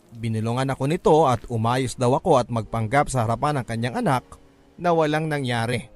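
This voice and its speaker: Filipino male storyteller over music. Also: medium pitch. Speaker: story narrator. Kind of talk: dramatic scripted first-person narration in classic radio drama manner. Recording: music under the voice. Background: background music.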